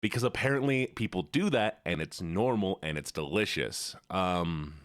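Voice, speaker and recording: A man hosting a livestream in casual chat, animated, his voice rising when excited and dropping low as he relaxes. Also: normal speed, dry recording, studio quality. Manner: animated, voice rises and drops. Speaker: livestream host.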